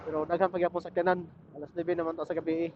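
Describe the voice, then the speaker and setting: High-voiced Bisaya man vlogging on a motorbike. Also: little pitch movement, fast. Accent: Filipino, Bisaya. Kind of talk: casual rambling chat while riding. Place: outdoors on a motorcycle at night in traffic. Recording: muffled helmet intercom with road noise. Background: faint vehicle and road noise.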